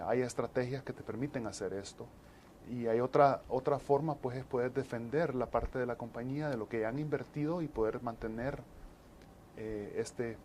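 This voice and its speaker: A man, explaining as an interview guest in measured, halting phrases. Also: mid-range voice, darkish tone, a little hiss or hum. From El Salvador. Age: 40s. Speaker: interview guest, disaster management specialist.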